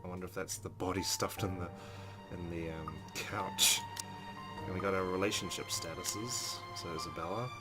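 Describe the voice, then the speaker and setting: Low-voiced man playing through a game. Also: steady tone, moderate pace. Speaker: let's play host. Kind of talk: gameplay commentary and reading game text aloud. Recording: game music behind the voice.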